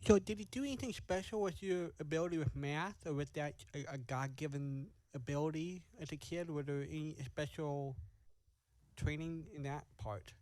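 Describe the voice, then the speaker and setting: A man's voice: a little high, normal speed, darkish tone, dry recording, poor audio. An American man working as an interviewer, asking questions in a casual, conversational style. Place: indoors on a video call.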